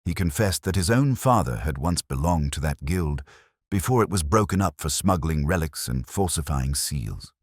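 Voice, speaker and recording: A man narrating a scripted mystery story as an audiobook narrator, in a measured, solemn way. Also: a bass voice, expressive, husky, no room sound, studio quality.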